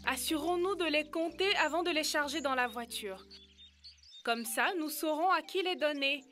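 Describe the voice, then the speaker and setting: A woman's voice: high-pitched, normal speed, sharp tone, soft background music. A woman voicing a helpful, slightly bossy young girl in a cartoon dub. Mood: earnest.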